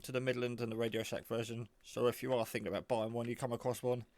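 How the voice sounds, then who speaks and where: A man giving a casual, off-the-cuff product demo, rambling in a low-key way as he thinks aloud. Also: level tone, fast talker, a little mellow. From England. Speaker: hobbyist reviewer.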